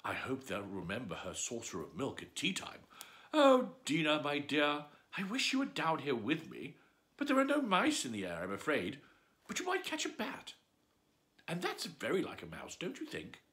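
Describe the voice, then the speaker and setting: A man's voice: a little high, huge pitch swings, normal speed, fairly bright tone. A man voicing a curious little girl who chatters and wonders aloud in a storybook reading. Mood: chattering.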